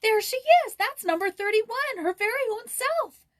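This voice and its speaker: A woman voicing an eager, tender-hearted, excitable young girl in a storybook, with an impulsive, gushing manner. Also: extremely high pitch, moderate pace, pitched above the performer's own voice. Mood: excited.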